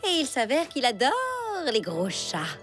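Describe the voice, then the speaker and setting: A sly female animal from a cartoon. The voice is unhurried yet lively in pitch, dry and wheedling. Loud music plays underneath. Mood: sly, coaxing.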